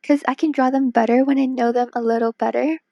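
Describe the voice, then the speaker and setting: A woman's voice: sits high, fairly even intonation, fast, gravelly, dry recording, highs cut off. A woman from Hong Kong chatting casually on a late-night stream, tired and worn-voiced, playful and teasing, sometimes dropping to a whisper. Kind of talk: casual live-stream chat while drawing.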